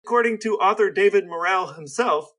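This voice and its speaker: A man's voice: high-pitched, a little fast, bright, forceful tone. A man co-hosting a casual podcast chat, explaining facts at length with dry jokes. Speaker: podcast co-host.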